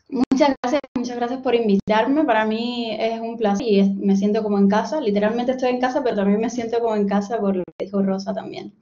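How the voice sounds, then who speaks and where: A woman's voice: a little high, warm tone. A woman in her thirties with a Cuban Spanish accent gives an online seminar from home, telling her own story in a friendly, personal way. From Cuba. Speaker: guest lecturer, postdoctoral researcher. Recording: dull lo-fi video call audio.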